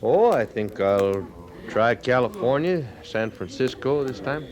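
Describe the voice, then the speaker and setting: An actor playing a sardonic, drifting ex-soldier and gambler in an old-time radio western, easygoing and needling. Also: male voice, mid-range voice, lively intonation, a little slow, lightly acted. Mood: sly, breezy. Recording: old radio drama with crowd murmur. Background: saloon crowd sound effects.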